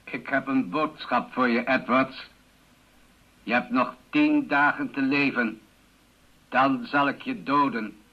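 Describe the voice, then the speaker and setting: An actor playing an anonymous caller who makes death threats in a slow, deliberate, menacing manner in a radio play. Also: male voice, medium pitch, steady tone. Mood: cold menace. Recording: dull lo-fi radio recording.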